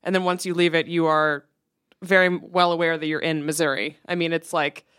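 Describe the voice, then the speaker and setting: A woman's voice: low-pitched, level tone, fairly quick, fairly bright tone, clean tone. A woman from Omaha, Nebraska, chatting casually in a talk show, with many likes and hedges. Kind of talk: casual conversation.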